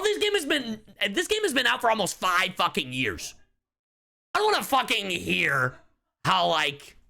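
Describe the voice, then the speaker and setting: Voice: medium pitch, creaky, sharp tone. A streamer giving casual live commentary in an exasperated, slangy, profanity-heavy way. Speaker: streamer reacting to game voice lines.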